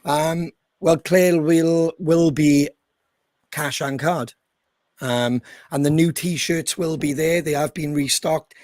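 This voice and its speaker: Croaky man hosting a casual chat. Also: on the high side, fairly even intonation, takes it slowly, a little mellow, no echo, studio quality. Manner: chatty, with frequent ums. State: croaky, hoarse voice. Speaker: band member hosting the band's podcast.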